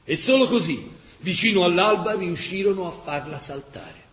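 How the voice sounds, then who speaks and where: A man's voice: high voice, expressive, moderate pace, a bit bright. A man narrating a scripted radio drama in an impassioned, emphatic, literary way. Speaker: actor narrator.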